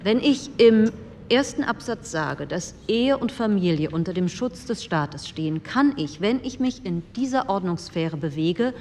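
A woman's voice: mid-range voice, expressive, unhurried, darkish tone. An actress playing a strict, principled conservative woman politician of 1948, lecturing parliament in a formal, didactic way. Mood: stern, didactic. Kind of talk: formal parliamentary speech, re-enacted. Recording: long hall reverb, faint noise.

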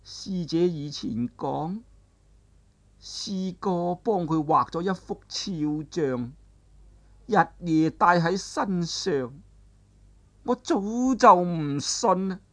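A male storyteller voicing an aged woman martial arts master who is bitter and grieving, in a high strained falsetto that wavers between laughter and sobbing. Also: lively intonation, slow pace, fairly bright tone, exaggerated voice. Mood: distraught. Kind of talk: traditional storytelling, voiced character dialogue.

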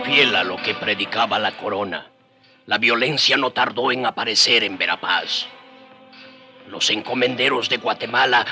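An actor playing a grave native narrator who recalls the Spanish conquest in a radio serial. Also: male voice, on the low side. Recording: music behind the voice.

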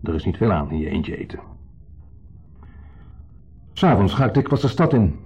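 A lonely, clingy ex-lover in a 1970s radio drama. His voice is mellow and husky, pleading for friendship and slipping into downcast confession. The old radio recording is dull. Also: low-pitched, very animated, moderate pace, soft background music, full character voice. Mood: lonely, confessional. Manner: pleading, confessional, swinging between eager and downcast. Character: lonely, needy, insistently friendly ex-lover, a guest. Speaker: actor.

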